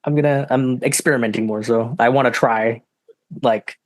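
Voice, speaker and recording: Soft-spoken young man, hesitant. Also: mid-range voice, fairly even intonation, normal speed, no room sound. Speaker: guest singer-songwriter. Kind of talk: casual interview chat.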